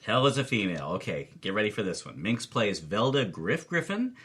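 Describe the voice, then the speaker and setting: Guy film buff co-host, low husky voice, really animated and emphatic. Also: fairly quick. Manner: emphatic, enthusiastic. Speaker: podcast co-host and film commentator.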